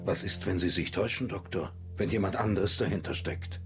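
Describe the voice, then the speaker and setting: A deep-voiced, proud prosecutor in a radio drama, an anguished father on the defensive. He speaks with urgent resistance, with faint music behind. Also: a little fast. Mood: defensive, agitated. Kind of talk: German radio drama acting.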